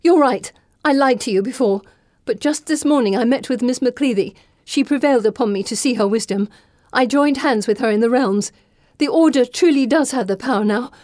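A woman narrator voicing a frightened but defiant young heroine, pleading and high-strung, in an audiobook. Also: brisk, darkish tone, no room sound, studio quality, lightly acted. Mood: desperate pleading. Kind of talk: audiobook character voice.

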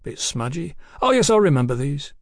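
A man's voice: on the high side, very animated, no room sound, clean studio sound. A man voicing an easy-going, talkative working-class bill-sticking boss in an audiobook, with folksy, colloquial phrasing. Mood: businesslike, genial. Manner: folksy, unhurried, colloquial, garrulous.

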